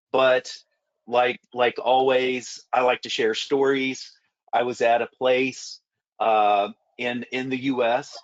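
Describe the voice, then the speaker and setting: A man working as a food safety consultant, a little raspy from a recent illness, answering panel questions and telling stories from his work. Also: mid-range voice, muffled recording. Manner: storytelling, repeats words when restarting.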